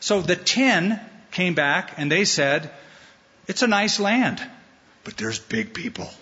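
Pastor guy preaching, kinda high bright voice, big echoey church, dull sound. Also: slow pace. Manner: animated, acts out quoted voices playfully. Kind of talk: sermon. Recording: dull sound in a large hall with long reverb.

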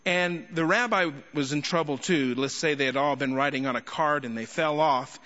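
A man preaching an expository sermon to a congregation, speaking emphatically with rhetorical questions and deliberate pauses. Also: fairly high pitch, sharp tone. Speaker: guest preacher and teacher. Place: large indoor church hall. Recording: echoey large hall, slightly muffled sound.

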